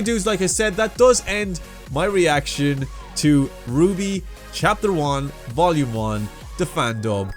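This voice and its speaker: A man hosting a reaction video in casual, chatty commentary, repeating himself for emphasis and addressing viewers as dudes. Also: on the high side. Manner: repetitive, emphatic, says "dudes" often.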